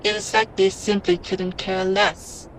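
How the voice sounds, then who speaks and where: A man's voice: sits high, level tone, a little slow, a bit bright, slight background noise. A man voicing a grave, weary military leader of an enemy tribe in a cartoon comic dub. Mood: grim, brooding.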